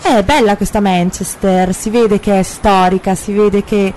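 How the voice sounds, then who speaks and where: An Italian woman hosting a travel vlog, chatty and lively, halting and careful when she switches to English with an Italian accent. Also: medium pitch, slight background noise, rough recording. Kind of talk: casual on-the-street presenting.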